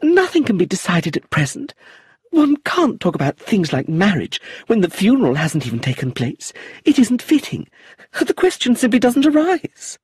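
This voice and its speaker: A prim, chattering old aunt rambles on in a high, fluttery voice that swoops all over, as a man reading the story puts her on. The tone is husky and breathy, and the sound is close and dry. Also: big pitch swings, normal speed, no echo, exaggerated voice. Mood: flustered. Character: fussy, chattering older aunt, prim and gossipy.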